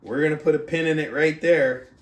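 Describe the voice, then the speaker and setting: A man who speaks as a motivational book club host, reading aloud and coaching in an emphatic, deliberate, rhetorical manner. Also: fairly high pitch, steady tone. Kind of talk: audiobook-style reading aloud and motivational talk. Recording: roomy, echoing sound.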